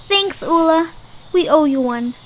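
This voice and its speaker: A woman's voice: sits high, lively intonation, a little slow, fairly bright tone, a little hiss or hum, rough recording. A woman voicing an earnest, hesitant young girl adventurer in an audio drama. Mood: grateful, excited. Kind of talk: audio drama character acting.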